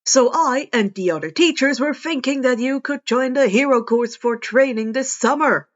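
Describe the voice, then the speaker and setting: A woman's voice: sits high, normal speed, close to an everyday voice. A woman acts out an upbeat male hero teacher in a story podcast. He is earnest and encouraging, stressing his explanations. The sound is a little lo-fi.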